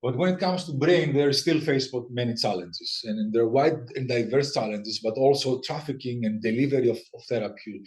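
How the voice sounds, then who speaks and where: A man's voice: mid-range voice, a little fast, hardly any pauses, a little mellow. Greek guy in his 40s giving a science seminar, chatty, keeps restarting his sentences. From Greece. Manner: frequent restarts and repeated words, conversational. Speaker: guest lecturer. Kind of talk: academic seminar talk.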